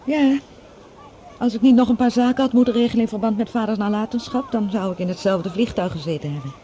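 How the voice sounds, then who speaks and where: A woman acting as a self-possessed, guarded woman in a radio drama. Her voice is soft-edged and dark, her manner cool but civil. There is faint noise behind her. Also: a little high, fast, highs cut off, lightly acted. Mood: composed, civil. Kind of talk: Dutch radio play acting.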